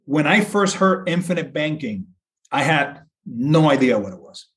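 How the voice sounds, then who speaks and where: A man's voice: a little high, very animated, moderate pace, fairly bright tone. A middle-aged man from Puerto Rico talking as an interview guest, explaining in an earnest, conversational way and repeating phrases for emphasis. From Puerto Rico, later south of Boston. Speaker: podcast guest, insurance broker.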